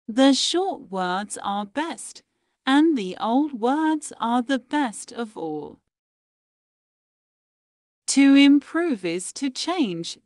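Woman reading quotes real slow, pitch goes up and down a lot, dry close sound. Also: dry recording. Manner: measured, deliberate reading with pauses between phrases. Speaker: quote reader.

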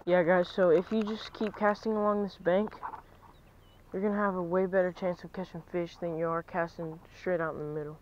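A young man, vlogging as he fishes from a lake bank, chatty and excitable, dropping to a near-whisper at times. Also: sits high, brisk, highs cut off, noisy background. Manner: chatty, excitable, drops to near-whisper while stalking fish. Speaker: fishing vlogger. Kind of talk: casual vlog narration. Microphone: chest-mounted action camera.

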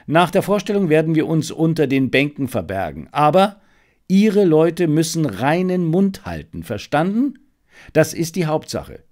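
A male audiobook reader voicing a self-assured, brisk gentleman detective with an assured, instructive manner. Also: fairly high pitch, big pitch swings. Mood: lively, commanding. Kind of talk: audiobook character dialogue.

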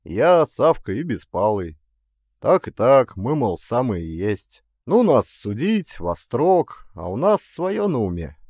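A man voicing a weary, gloomy former bandit in an audiobook, frank and resigned, with calm, folksy peasant speech. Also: mid-range voice, takes it slowly, no room sound, studio quality. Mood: matter-of-fact.

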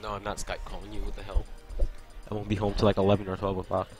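A man's voice: fairly low pitch, monotone, fast talker. Casual young man commentating a game. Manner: casual, laughs often, answers chat between plays. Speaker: esports commentator. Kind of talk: solo game commentary, chatting with stream chat. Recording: loud music behind. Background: game music.

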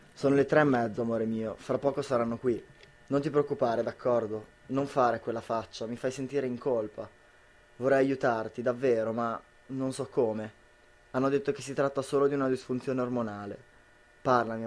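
A man's voice: medium pitch, a little mellow, natural voice. A young man playing a worried, tender husband who earnestly reassures his partner in an amateur radio drama. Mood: anxious, tender. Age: young adult.

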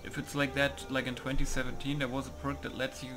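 A man's voice: medium pitch, little pitch movement, quick pace. A man giving casual gameplay commentary, thinking aloud and muttering hesitantly to himself. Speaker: gamer hosting a playthrough. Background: game music.

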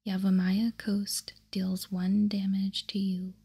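A woman whispering softly and deliberately in ASMR style, close to the microphone as she hosts. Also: medium pitch, completely flat delivery, husky. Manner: soft, deliberate, unhurried whispering. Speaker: host. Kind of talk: whispered ASMR, reading trading cards aloud.

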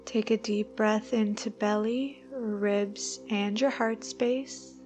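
A woman leading a guided meditation, giving soothing, unhurried instructions with long pauses between phrases. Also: mid-range voice, fairly even intonation, a little mellow. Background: background music.

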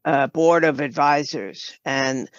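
A woman explains her creative work as an interview guest. She speaks very slowly, often breaking off and restarting her sentences. The recording is dry and somewhat dull, with little room sound. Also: low voice, no echo. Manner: halting, with broken-off sentences. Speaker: guest, writer and audio drama producer.

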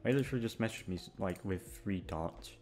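A man's voice: low-pitched, fairly quick, a little mellow. A young man chatting casually and aimlessly to his listeners, self-deprecating, hesitant and sweary. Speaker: audio creator and voice actor. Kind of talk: rambling casual chat to listeners.

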